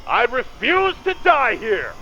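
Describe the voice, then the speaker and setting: Man acting a zealous paladin, commanding, wildly animated pitch, gravelly, slightly lo-fi radio play. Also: slow, heavily acted. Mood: defiant anger. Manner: forceful, commanding, militant. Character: proud, defiant armored paladin soldier, zealous and commanding. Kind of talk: post-apocalyptic audio drama acting.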